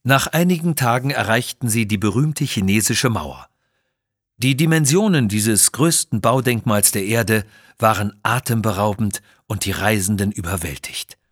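A man narrating a scripted children's audio story with measured, expressive reading. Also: on the low side, husky, dry recording, clean studio sound.

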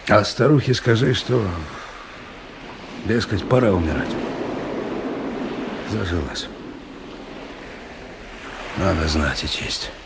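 A proud, hard-hearted smuggler boatman in a radio play. His voice is on the low side, set against clearly audible surf and waves. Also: animated, takes it slowly, long pauses, heavily acted. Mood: curt, callous. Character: bold, proud, callous roving smuggler boatman. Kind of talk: radio drama acting. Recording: noisy sea surf sound effects behind.

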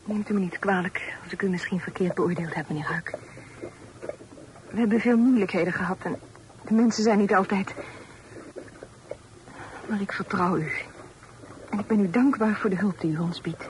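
A woman playing a proud, sensitive young lady in a period radio drama, formal and earnest, halting when upset. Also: medium pitch, close to an everyday voice. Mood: sincere, apologetic. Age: young adult. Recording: slightly noisy old radio recording.

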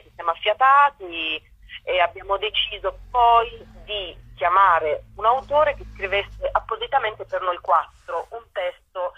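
A woman's voice: mid-range voice, slightly rough, dry recording. A woman, a theatre performer chatting as a guest in a phone interview for radio. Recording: muffled, band-limited telephone line.

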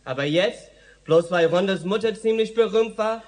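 A man's voice: high-pitched, normal speed, close to an everyday voice. A man acting in a radio play as a defiant, streetwise transgender woman with a sassy, mocking manner. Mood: indignant. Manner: sassy, mocking, sharp-tongued.

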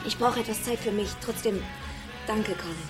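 Woman playing upset fragile lady, polite n hesitant, highish voice, music going in the background, radio drama. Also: moderate pace, close to an everyday voice. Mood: withdrawn, upset. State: distressed. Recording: music clearly playing behind the voice.

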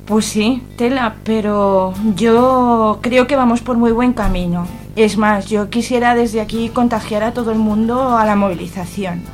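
Spanish woman speaking earnestly over faint music. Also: medium pitch. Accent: Spanish from Spain. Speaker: activist interview guest. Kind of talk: conversational interview answers.

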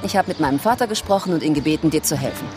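An actress playing a calm, intimate woman confiding in a detective in a radio drama, familiar in manner. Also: low voice, rapid-fire, over music. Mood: casual.

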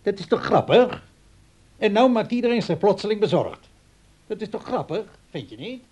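An aging father in a radio drama, oddly calm and a little sly. His husky voice carries a wry, aggrieved, hesitant manner. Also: high voice, moderate pace, full character voice. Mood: wry, defiant. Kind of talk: radio play acting.

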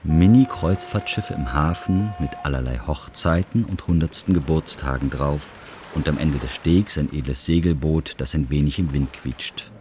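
A man's voice: rumbling low, normal speed, darkish tone. A man reading scripted narration for an audio drama. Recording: clearly noisy ambience behind the voice.

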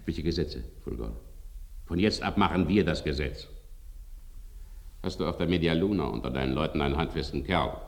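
Gravelly, deep, ruthless landowner. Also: male voice, moderate pace, long pauses. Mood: cold, commanding. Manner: curt, imperious. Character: ruthless, domineering male landowner, cold and commanding. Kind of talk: radio play acting.

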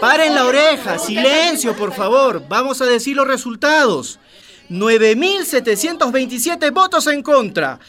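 High-voiced rally announcer amid crowd. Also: male voice, lively intonation, unhurried. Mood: commanding. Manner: emphatic, declamatory. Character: visiting activist announcing vote results to a crowd. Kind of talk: radio drama acting, public announcement. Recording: loud crowd behind.

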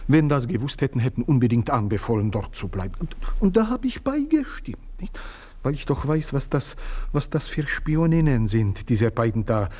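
Cunning servant, radio drama; husky, very lively pitch. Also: male voice. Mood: ingratiating. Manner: sly, insinuating, fawning. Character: scheming, cunning male manservant, middle-aged. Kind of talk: radio play acting.

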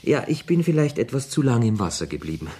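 Rich young playboy in a radio play, raspy-ish voice, flippant, teasing, smooth manners. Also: male voice, medium pitch, sing-song, quick pace, warm tone. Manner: urbane, flippant, mock-gallant, hesitant when evasive. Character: suave, wealthy young man about town, playfully teasing. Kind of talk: German radio play acting.

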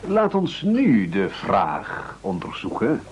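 A man's voice: on the low side, wildly swooping pitch, slow pace, slight background noise, full character voice. An actor in a radio play playing a pompous, scheming male leader of hungry castaways, speaking in formal, rhetorical sentences. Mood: pompous, accusing.

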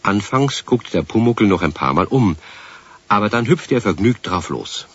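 A man narrating a children's radio play as a calm, expressive storyteller, taking his time. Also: lowish voice, normal speed, breathy edge. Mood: storytelling. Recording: slightly dull vintage radio recording.

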